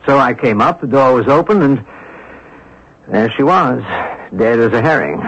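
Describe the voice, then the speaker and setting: A man playing a shrewd, composed amateur detective in an old-time radio mystery, speaking in a measured, inquisitive way. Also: mid-range voice, animated, a bit bright, husky. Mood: matter-of-fact, firm. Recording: dull, lo-fi old radio recording.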